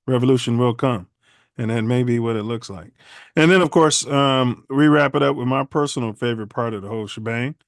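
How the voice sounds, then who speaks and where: A man hosts a casual podcast, rambling along in loose slang. His voice is smooth and clear. The sound is dry and close. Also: medium pitch, brisk, dry recording. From Chicago. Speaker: podcast host. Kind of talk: casual livestream podcast chat.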